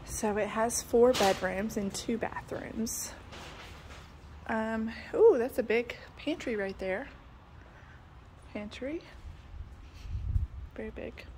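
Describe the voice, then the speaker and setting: A woman's voice: on the high side, slow pace, pauses often, darkish tone, a little hiss or hum, poor audio. A woman, chatting casually and thinking aloud with plenty of ums while she walks through a house being built, filmed on a phone. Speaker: real estate agent. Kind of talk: casual walkthrough video. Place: house under construction, indoors and in the yard. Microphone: phone held by the speaker.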